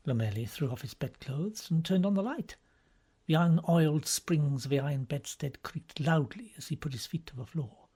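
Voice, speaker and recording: A man's voice: on the high side, animated, normal speed, warm tone, breathy edge. A man reads a novel aloud as scripted audiobook narration.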